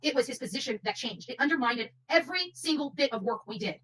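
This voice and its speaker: A woman's voice: sits high, animated, fast talker, fairly bright tone, a little hiss or hum, low-quality recording. A woman doing unscripted livestream commentary, speaking emphatically and repeating phrases for stress.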